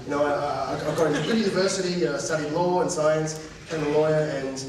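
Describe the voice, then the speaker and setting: A young Australian man with an Australian accent performing an animated stand-up comedy set, slipping into voices of the people in his stories. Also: on the high side, fast, noisy background. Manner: animated storytelling, mimics voices of mum and others. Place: large hall with a live audience. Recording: echoey hall, miked from far off, audience.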